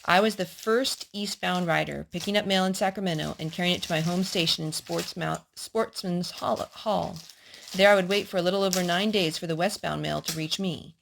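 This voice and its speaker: A woman's voice: fairly low pitch, a little fast, vocal fry, slight background noise, poor audio. A woman hosting a children's storytime, reading picture books aloud and asking little prompting questions in a child-directed way.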